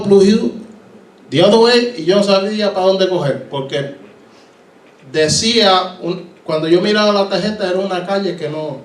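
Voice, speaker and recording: A man's voice: sits high, far from the mic. Puerto Rican pastor telling his story, echoey hall. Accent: Puerto Rican Spanish. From Puerto Rico. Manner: storytelling, acting out voices, mixing in English. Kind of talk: sermon testimony. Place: church hall.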